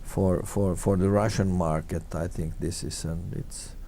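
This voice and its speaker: Low, dark-voiced halting man from Finland. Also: fairly even intonation. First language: Swedish. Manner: halting, many repeated words and restarts. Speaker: guest, tourism consultant. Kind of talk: conversational interview answers.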